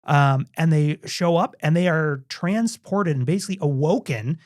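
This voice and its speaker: A man's voice: a little high, moderate pace. A man co-hosting a podcast, chatting casually about a book with many restarts, fillers and laughs. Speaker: podcast co-host.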